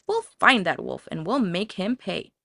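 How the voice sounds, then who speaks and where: A woman voicing excitable, plucky little male superhero pigs in a children's storybook, theatrical and exclamatory. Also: lowish voice, unhurried, vocal fry, dry recording, studio quality, exaggerated voice. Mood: boastful. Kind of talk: children's storybook character reading.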